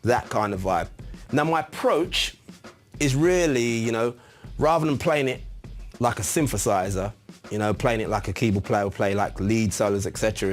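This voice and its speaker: A man giving an informal, chatty tutorial as a musician, explaining a piece of gear step by step. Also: mid-range voice. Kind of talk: casual instructional demonstration.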